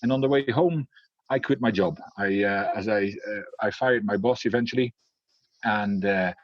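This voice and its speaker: A man's voice: on the low side, moderate pace, dry recording, lo-fi sound. A middle-aged man with a Dutch accent tinged by Scotland, a leadership coach talking in an interview, hesitant with many fillers and restarts. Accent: Dutch, with Scottish influence. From Netherlands. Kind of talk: casual interview talk.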